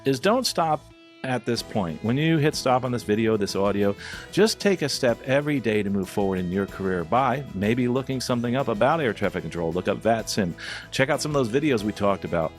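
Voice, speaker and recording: A man hosting a podcast in a conversational, encouraging way, speaking directly to his listeners. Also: medium pitch. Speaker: podcast host.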